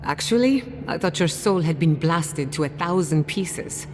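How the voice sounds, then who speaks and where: An inquisitive scholar in a fantasy game. Her voice is low, measured and expressive, with a wry edge. It rings in a reverberant space with faint music behind. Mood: relieved, brisk. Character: woman scholar of spirit science, inquisitive, wry. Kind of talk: video game voice acting. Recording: reverberant hall, music underneath.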